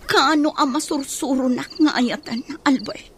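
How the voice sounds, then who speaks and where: A woman's voice: high-pitched, measured pace, noisy background. A woman playing a lovesick, persistent wife in a melodramatic radio drama. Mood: pleading, hurt.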